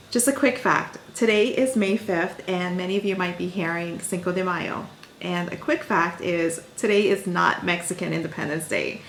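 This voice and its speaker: Gravelly-voiced upbeat woman in an echoey room. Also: on the low side, a little hiss or hum. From Guyana. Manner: upbeat, chatty, with fillers. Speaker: host of own channel. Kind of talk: casual monologue to viewers.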